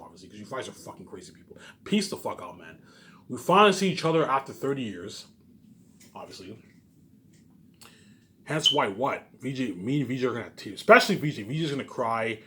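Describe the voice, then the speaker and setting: A man with a mid-pitched voice rambles informally to an audience of viewers. He sounds agitated and emphatic, swears freely and circles back over the same phrases. His phrase endings often drop away into short asides. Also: fairly bright tone, slight background noise. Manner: repetitive, with trailing asides at phrase ends.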